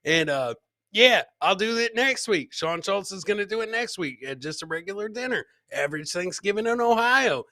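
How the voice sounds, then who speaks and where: A middle-aged man hosts a live comedy podcast in casual, slangy banter. His voice sits a little high with a sharp, punchy edge, captured close and dry on a podcast mic. He talks like a buddy, doubling back on phrases to drive them home. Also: lively intonation, normal speed, dry recording. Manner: chummy, slangy, repeats phrases for emphasis. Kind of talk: casual livestream banter reacting to clips and viewer comments. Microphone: close podcast mic on a boom, with headset.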